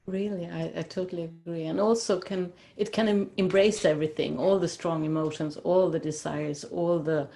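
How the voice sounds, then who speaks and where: A woman hosting a casual interview in English as a second language after Swedish, earnest and thoughtful, restarting words and laughing softly. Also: on the low side, steady tone, moderate pace, vocal fry, few pauses. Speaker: host.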